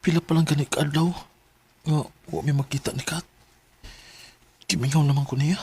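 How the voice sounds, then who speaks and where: A man's voice: on the high side, steady tone, normal speed, a little mellow, breathy edge. A man with a Filipino Bisaya accent acting a lovesick, jealous young security guard in a radio drama, questioning insistently and emotionally. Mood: wistful, insistent.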